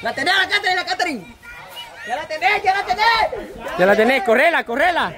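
A Salvadoran man hosting a vlog, cheering on a running game with rapid repeated shouts, recorded on a camera mic outdoors. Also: very high voice, big pitch swings, over a noisy crowd. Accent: Salvadoran Spanish. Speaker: vlog host filming a group game. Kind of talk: excited live game commentary. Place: outdoor park lawn with crowd.

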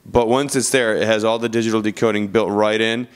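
A man's voice: on the low side, fairly even intonation, fairly quick, clear, resonant tone. A man narrating a product review in an informal, conversational style, as a reviewer talking through features. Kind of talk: casual video review narration.

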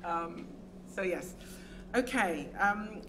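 A woman giving discussant remarks on a lecture stage, speaking hesitantly with many pauses and fillers. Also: mid-range voice, lively intonation. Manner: hesitant, many ums, warm thanks. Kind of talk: academic panel remarks. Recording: lecture hall audio with background noise.

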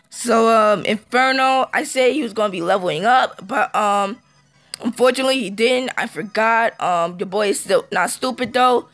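A man with a hoarse, fading voice giving casual let's-play commentary, halting with ums and throat-clearing, recorded on a phone. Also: high voice, a bit bright, no room sound, faint music behind. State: hoarse, losing his voice.

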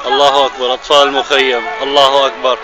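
A man's voice: mid-range voice, little pitch movement, very fast, over loud music. A man giving eyewitness narration outdoors in short, repeated, solemn declarations. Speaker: amateur cameraman, eyewitness. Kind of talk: eyewitness narration of filmed footage. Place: outdoors in an open field near a large fire. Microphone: handheld phone camera. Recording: noisy outdoor phone recording.

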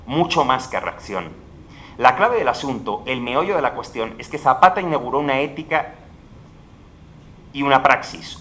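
Man, middle-aged, clear bright voice, formal lecture, faint rumble behind, table mic. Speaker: conference speaker. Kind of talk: formal academic lecture read aloud. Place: large legislative hall, indoors. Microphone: table microphone at a panel.

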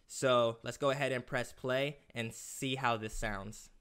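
A man's voice: mid-range voice, steady tone, unhurried, very noisy. A young man hosting a casual screen-recorded tutorial from a small room indoors, chatty and loose with plenty of fillers. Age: young adult. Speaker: music production tutorial host.

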